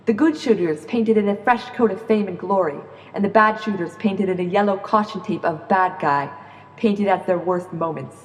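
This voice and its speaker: A woman performing spoken-word slam poetry in a rhythmic, earnest, deliberate way. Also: medium pitch, darkish tone. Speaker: slam poet. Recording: echoey reverberant room.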